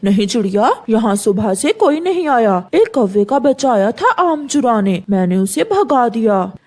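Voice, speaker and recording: A woman voicing a gruff adult male pigeon in a children's cartoon, matter-of-fact in manner. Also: fairly high pitch, big pitch swings, a little slow, darkish tone. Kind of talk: children's animated story dialogue.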